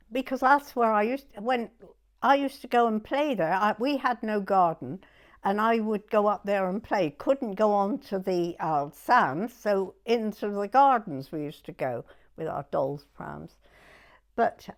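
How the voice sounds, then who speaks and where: A woman in her nineties with a southern English accent, reminiscing in an interview in a halting way, pausing to recollect. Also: mid-range voice. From southern England. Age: 90s. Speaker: oral history interviewee.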